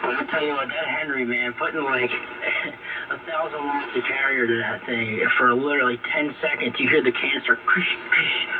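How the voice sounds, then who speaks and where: A man's voice: medium pitch, steady tone, moderate pace, loud music behind the voice. A man chatting casually and informally in slang as an amateur radio operator over an AM shortwave link. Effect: AM shortwave radio transmission. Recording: phone-like, distant, noisy AM radio signal.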